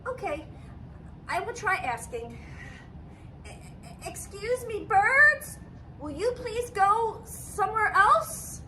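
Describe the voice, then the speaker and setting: Woman playing a very high, dramatic, fretful elephant. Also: sing-song, slow pace, pushed and bright, slight background noise, full character voice, poor audio. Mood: hesitant. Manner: dramatic, exclaiming, swinging from fretful to outraged. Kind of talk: animated children's picture book read-aloud.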